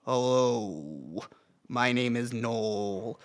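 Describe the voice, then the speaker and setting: A man mimicking an exaggerated deep-voiced man in a stiff, awkward way. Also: lively intonation, extremely slow, clear, resonant tone. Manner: stiff, awkward mock announcement.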